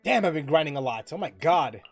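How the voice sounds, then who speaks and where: A game streamer chatting casually while playing, thinking aloud, repeating phrases and breaking into loud exclamations. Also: medium pitch, lively intonation, fast, breathy edge, faint music behind. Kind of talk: casual livestream chat and gameplay commentary.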